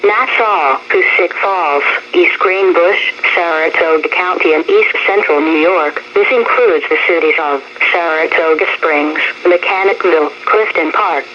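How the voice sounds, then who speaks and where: A synthetic female voice with an American accent reads scripted emergency weather warnings in a formal, measured way as a radio announcer. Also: lowish voice. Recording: dull, noisy weather radio broadcast. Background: radio noise.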